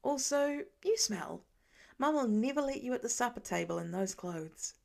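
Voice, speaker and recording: A woman reader puts on a teenage boy from an audiobook, wry and cheeky. He teases with a sarcastic, sniffy edge, playfully unimpressed by his father, in a creaky voice. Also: fairly high pitch, lively intonation, moderate pace. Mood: sniffy, dismissive. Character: wry, teasing teenage son, dryly dismissive of dad.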